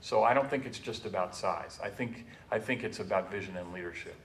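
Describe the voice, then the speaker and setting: A man's voice: on the low side, a little fast, slight background noise. Older American man, echoey and distant. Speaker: panelist. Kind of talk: panel discussion, persuasive argument. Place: meeting room, indoors. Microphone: tabletop stand microphone. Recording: echoey room, distant microphone.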